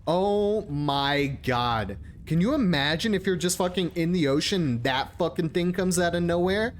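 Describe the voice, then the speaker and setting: A man's voice: fairly high pitch, lively intonation, normal speed, a little hiss or hum. A young adult man giving casual, crude, joking live commentary as he plays a game. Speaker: streamer playing a game.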